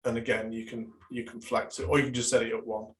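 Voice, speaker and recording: A man coaching a webinar over a video call, his voice a touch strained as he loses it. He talks in a flat, even line, stopping often on fillers and restarting his sentences. He sits at a middle pitch. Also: quick pace. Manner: hesitant, many fillers and restarts. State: losing his voice. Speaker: business and marketing coach leading a webinar. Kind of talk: live online workshop presentation.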